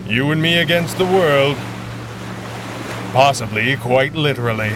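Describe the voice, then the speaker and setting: Guy acting as a 1930s radio superhero, calm and wry, mid pitched male voice, lots of noise behind. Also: unhurried, natural voice. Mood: pensive, grave. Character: Red Panda, cool-headed masked 1930s male superhero. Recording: clearly noisy background ambience.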